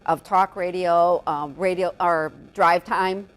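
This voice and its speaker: A woman interviewing a podcaster, chatty and loud-voiced, often interjecting and restating ideas. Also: lowish voice, steady tone, takes it slowly, clear, resonant tone. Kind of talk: conversational interview.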